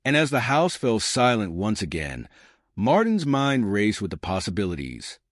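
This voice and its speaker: A man's voice: fairly low pitch, huge pitch swings, moderate pace, dry recording, clean studio sound. A man reading scripted suspense fiction as an audiobook narrator.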